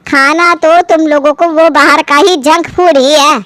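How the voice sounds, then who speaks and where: A woman's voice: sits high, steady tone, a little slow, a bit bright, no echo. A woman voicing an indignant, short-tempered middle-aged mother who scolds with sarcasm. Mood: sarcastic, hurt.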